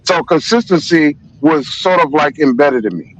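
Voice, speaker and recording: An older man speaks as a guest in a heated panel debate, with a voice a bit high for a man. He argues back insistently and repeats himself to get a word in. The recording sounds dull and lo-fi. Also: animated, moderate pace, fairly bright tone.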